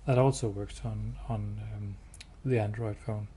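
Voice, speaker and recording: A man giving a hands-on product demo indoors, explaining step by step in a halting, matter-of-fact way. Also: on the low side, fairly even intonation, warm tone. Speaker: product demonstrator. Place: indoors, showroom with wall-mounted devices. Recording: clear background noise.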